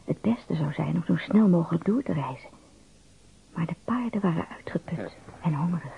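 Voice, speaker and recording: An actor narrating an adventure tale for a radio play in a husky, creaky voice. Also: male voice, fairly high pitch, expressive, normal speed, a little hiss or hum. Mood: measured, suspenseful. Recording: thin phone-like band-limited sound.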